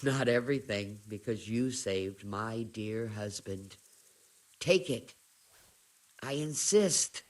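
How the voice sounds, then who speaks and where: A woman's voice: extremely low pitch, animated, slow pace, heavily acted. An earthy medieval peasant wife from a video game. She speaks emphatically, with bitterness and gratitude close together, as someone worn by hardship. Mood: grateful, worried.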